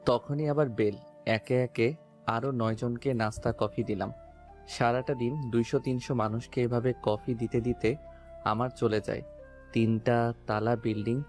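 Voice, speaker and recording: A man's voice: medium pitch, a little mellow, lightly acted. A man playing a weary, meek young office tea boy in an audio story drama, downcast and resigned.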